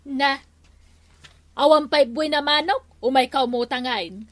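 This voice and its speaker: An actress playing a sharp, complaining village woman in a comedic radio drama, nagging and exclamatory. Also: high voice, a little slow, no room sound.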